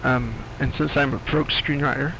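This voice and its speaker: A man from Britain giving casual, musing screenwriter's commentary over a video game, hesitant and halting. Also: mid-range voice, fairly even intonation, gravelly, poor audio. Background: game audio with faint music.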